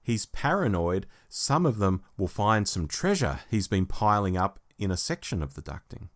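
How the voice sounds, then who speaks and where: Man summarising a story, lively pitch swings. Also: normal speed. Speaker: podcast host. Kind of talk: reading plot summary, review podcast.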